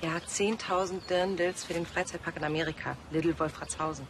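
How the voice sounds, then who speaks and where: A woman's voice: lowish voice, steady tone, fairly quick, slight background noise, close to an everyday voice. Woman playing a blunt, feisty young policewoman. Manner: blunt, sardonic.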